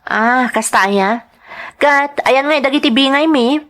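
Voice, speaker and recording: A woman's voice: sits high, takes it slowly, a bit bright, voice pushed up. A woman playing an irritable, haughty young village woman in a radio-style drama, curt and scolding, with an Ilocano accent. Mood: curt, annoyed.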